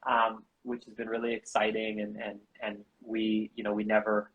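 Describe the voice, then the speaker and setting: A man from Canada and the US, co-founder of a bamboo architecture school, talking casually in an interview with halting restarts and fillers. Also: fairly low pitch, completely flat delivery, narrow, tinny audio.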